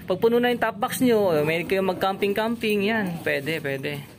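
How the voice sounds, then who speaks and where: A vlogger chatting casually while touring a motorcycle gear shop, often echoing what they are told. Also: medium pitch. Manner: chatty, repeats and echoes answers, quick laughs. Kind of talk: casual on-camera chat. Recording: noisy shop recording.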